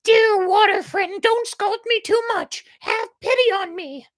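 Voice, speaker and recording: A storyteller voicing an arrogant, bratty little male half-chick in a shrill, melodramatic way. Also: measured pace, a bit bright, dry recording, clean studio sound. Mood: pleading.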